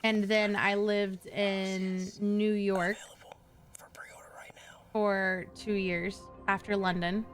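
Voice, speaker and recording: A woman's voice: mid-range voice, flat delivery, extremely slow, long pauses, soft background music. Chatty young woman streamer. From Kentucky. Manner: chatty, reads and answers chat. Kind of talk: casual live-stream chat.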